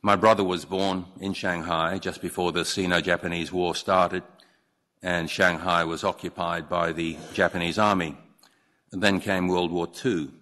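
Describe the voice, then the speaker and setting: Older guy, really low voice, slow earnest formal speech in a big echoey courtroom. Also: little pitch movement, bright, forceful tone. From Hong Kong. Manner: measured and earnest, with occasional slips and restarts. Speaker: newly appointed judge giving a speech. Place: large courtroom. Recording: long reverb of a large courtroom.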